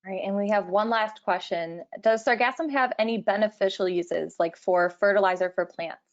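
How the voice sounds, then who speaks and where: A woman's voice: mid-range voice, moderate pace. A woman moderating an online event, brief, upbeat and reassuring as she passes questions and speakers along. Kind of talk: moderating an online open house. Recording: dull lo-fi video call audio.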